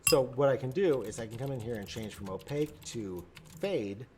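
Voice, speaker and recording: A man explaining software step by step in an unscripted tutorial, often repeating and rephrasing himself as he goes. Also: medium pitch, animated, normal speed, background noise.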